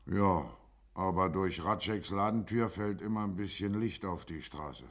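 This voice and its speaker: Casting: small-town neighbour, radio play, deep register, measured, respectful delivery. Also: male voice. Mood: measured, deferential. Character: plain-spoken small-town neighbour testifying to a magistrate. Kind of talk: German radio drama acting.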